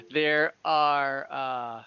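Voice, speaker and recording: A man works as game master, improvising descriptions casually and haltingly. His voice is bright and forward, with slightly dull, band-limited online sound. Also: medium pitch, steady tone, slight background noise. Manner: halting, informal. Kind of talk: improvised tabletop game narration.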